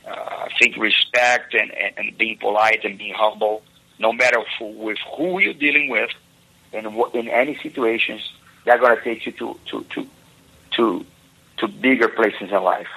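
A man's voice: lowish voice, steady tone, moderate pace, vocal fry, pushed and bright, breathy edge, low-quality recording. A man whose first language is Portuguese, earnestly giving advice in a played interview clip and repeating words as he searches for them. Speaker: jiu-jitsu guest from a past interview.

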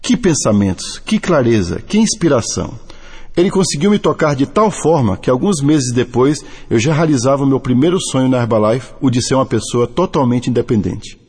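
A middle-aged Brazilian man with a Brazilian Portuguese accent, reading a motivational testimony in a deliberate, earnest way. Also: medium pitch, lively intonation, darkish tone, slightly rough. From Brazil (Bahia). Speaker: network marketing distributor. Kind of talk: scripted motivational testimony and read-out translation. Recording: long hall reverb.

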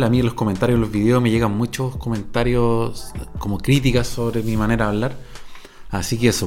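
Rambling Chilean man, slangy podcast monologue. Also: mid-range voice. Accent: Chilean Spanish. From Chile, Viña del Mar. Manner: rambling, digressive, heavy slang and swearing. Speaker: podcast host. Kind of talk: improvised casual monologue.

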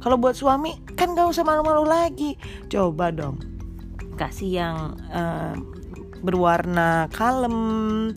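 A woman's voice: a little high, animated, slow, pauses often, faint music behind. A young woman with a stuffy, congested nose, chatting casually and teasingly to mothers as a podcast host. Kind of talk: casual chat to mothers, mixing in English. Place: small rented room.

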